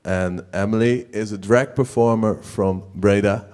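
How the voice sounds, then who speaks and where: A young man hosts from a theater stage, speaking into a handheld mic with a somewhat low voice. His introductions are friendly but halting, with plenty of "uh" between phrases. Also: steady tone, darkish tone. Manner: friendly, halting, with many fillers. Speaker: host. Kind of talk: stage hosting, introductions and questions.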